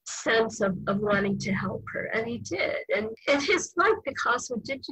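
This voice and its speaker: An older woman novelist answering interview questions in a thoughtful, measured way. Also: on the high side, sing-song, a bit bright, slightly rough, no room sound, low-quality recording. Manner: thoughtful, measured, occasional restarts. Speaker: novelist guest.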